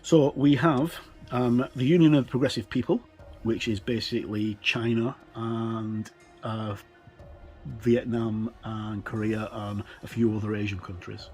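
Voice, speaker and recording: A middle-aged man hosting a casual look-through for a gaming channel, talking in a halting way with pauses mid-sentence. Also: on the low side, little pitch movement, a little mellow, faint music behind. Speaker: host of a tabletop gaming channel. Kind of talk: casual presenter talk, book look-through. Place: indoors, tabletop overhead shot.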